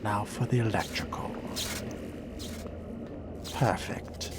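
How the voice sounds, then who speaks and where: A man's voice: on the low side, slow pace, heavy background noise. A man playing a weary, sardonic fledgling vampire in a video game roleplay, muttering dryly to himself. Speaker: solo game player.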